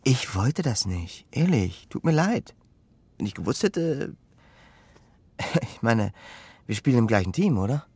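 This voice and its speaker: An actor playing a defensive, evasive criminal suspect in a naturalistic radio crime drama, hesitating and justifying himself. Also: male voice, mid-range voice, very animated, measured pace, pauses often, a little mellow. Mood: pleading, self-justifying. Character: defensive cornered criminal suspect, excuse-making, volatile man.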